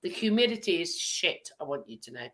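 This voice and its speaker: A woman's voice: fairly low pitch, very animated, fairly quick, fairly bright tone. A woman from the UK hosting a video call, chatty and blunt, with hay fever. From United Kingdom. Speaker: host. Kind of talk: casual video call chat.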